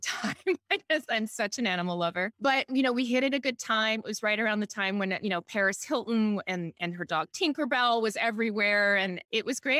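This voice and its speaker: A woman's voice: medium pitch, fairly bright tone, no room sound, clean studio sound. A middle-aged woman from Hawaii talking enthusiastically and chattily as a guest, telling stories in a casual conversational way. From Honolulu, Hawaii. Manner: chatty and enthusiastic, laughs often. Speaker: podcast guest, entrepreneur and author.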